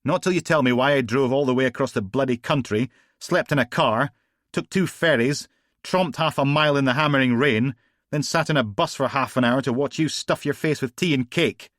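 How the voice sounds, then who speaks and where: A grumpy, put-upon male detective who moans and grumbles, played by a man reading aloud, his voice pushed up and loud with exasperation. Recorded close and dry. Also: mid-range voice, fairly quick, no room sound, pitched above the performer's own voice, lightly acted. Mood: exasperated. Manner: whining, exasperated.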